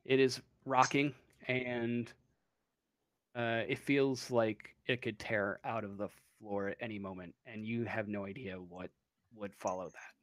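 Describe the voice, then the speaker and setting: A game master improvising narration for a tabletop roleplaying game, pausing often to think. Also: mid-range voice, steady tone, fairly bright tone, no room sound.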